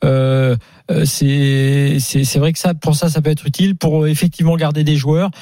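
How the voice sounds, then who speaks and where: A man giving casual football punditry on live radio, reasoning in a halting way and repeating words as he goes. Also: a little high, level tone, dark tone, no room sound, clean studio sound. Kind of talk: casual live debate commentary. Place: dry radio studio.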